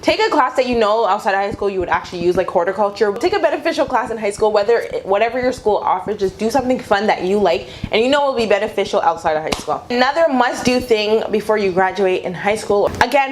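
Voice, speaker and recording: A woman's voice: mid-range voice, slight background noise. A young adult woman chatting casually to viewers as a vlogger, giving advice in an informal way and repeating phrases for emphasis.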